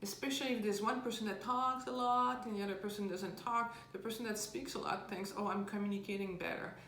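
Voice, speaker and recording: A woman's voice: medium pitch, steady tone, brisk, a bit bright. A woman relationship coach giving earnest advice and explaining with homely analogies. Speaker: relationship coach answering viewer questions. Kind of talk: casual advice talk. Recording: roomy with faint background noise.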